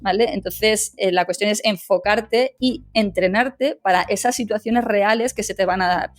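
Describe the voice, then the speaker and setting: A woman's voice: medium pitch, moderate pace, no echo, studio quality. A woman, a legal English teacher, giving emphatic, conversational interview answers full of tag questions.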